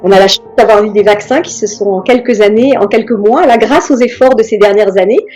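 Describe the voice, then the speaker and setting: A middle-aged woman from France talks slowly and reflectively as an interview guest, often pausing and starting over. Her voice is mellow and sits in a middle register. Faint music plays in the background. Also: mid-range voice. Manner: hesitant, many pauses and restarts.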